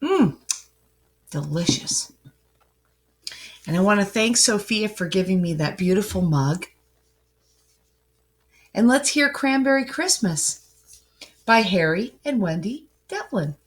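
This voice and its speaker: Animated, slow-paced woman reading to children. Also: medium pitch. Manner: sing-song, addressing young listeners directly. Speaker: storybook reader for children. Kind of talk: warm read-aloud greeting and storytelling to children.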